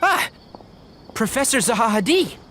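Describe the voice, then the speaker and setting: A man's voice: very high-pitched, very animated, normal speed, pushed and bright, slight background noise, exaggerated voice. An actor playing a polite, responsible young ranger in a video game, articulate and courteous as he takes charge. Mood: polite concern.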